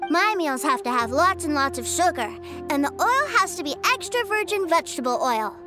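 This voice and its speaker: A woman's voice: sits high, animated, moderate pace, music in the background. A woman voicing a small, innocent, fussy little boy in a video game, earnest and childlike. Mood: fussy, pouting. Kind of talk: animated video game voice acting.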